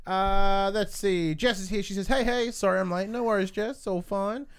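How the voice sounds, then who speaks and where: A man's voice: high voice, fairly even intonation, normal speed, smooth. A man hosting a podcast, chatting casually and putting questions to his co-host. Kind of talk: casual chat.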